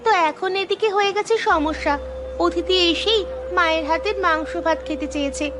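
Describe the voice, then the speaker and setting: A woman's voice: very high-pitched, fairly quick, noisy background. A woman voicing an earnest, respectful, slightly anxious young village daughter in a cartoon. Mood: worried. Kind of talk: cartoon voice acting. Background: music.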